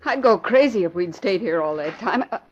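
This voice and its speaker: An actress playing a spirited, slangy young woman, breezy and excitable, in an old radio drama. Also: mid-range voice, brisk, natural voice. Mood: breezy, curious. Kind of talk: 1940s radio drama acting. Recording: dull old radio recording.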